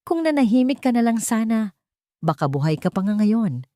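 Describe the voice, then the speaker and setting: A woman's voice: a little high, big pitch swings, moderate pace, mellow, no room sound, studio quality, pitched above the performer's own voice. A woman voicing a vengeful, scheming fiancée in an audio love drama, taunting and menacing. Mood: cold threat. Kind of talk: dramatic audio story acting.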